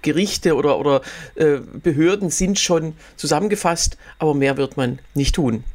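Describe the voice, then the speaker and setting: A man's voice: on the high side, moderate pace. A man from Berlin co-hosting a podcast, chatting casually with many fillers. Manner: talkative, hesitant with fillers, laughs easily. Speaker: podcast co-host. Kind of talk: casual chat.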